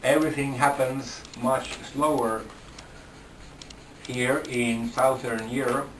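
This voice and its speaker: A man with a Finnish accent, speaking English slowly and haltingly and restarting his sentences often. He sits well back from the microphone, and the room around him sounds echoey. His pitch sits in a middle range. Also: fairly even intonation, fairly bright tone, slight background noise. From Finland. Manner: slow, halting, with repeated restarts. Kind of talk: casual interview talk. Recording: roomy sound from a distant mic.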